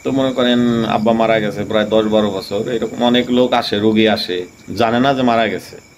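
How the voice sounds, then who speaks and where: Low voiced guy rambling thru a ghost story, crickets + noise behind. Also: steady tone, normal speed. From Tangail, Bangladesh. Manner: rambling, many fillers and restarts. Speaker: channel host. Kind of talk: casual storytelling.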